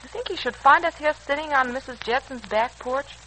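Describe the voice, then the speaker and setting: A woman's voice: sits high, fairly quick, pushed and bright, poor audio. An actress playing a poised, wry, analytical young woman in a 1940s radio serial. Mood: wry, composed. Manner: wry, composed. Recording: old radio recording, faint noise.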